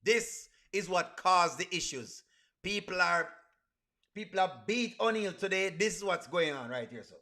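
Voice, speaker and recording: A man's voice: high voice, expressive, moderate pace, pushed and bright. A man with a Caribbean accent hosting a casual reaction livestream, talking to viewers and repeating his phrases for emphasis. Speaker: livestream host.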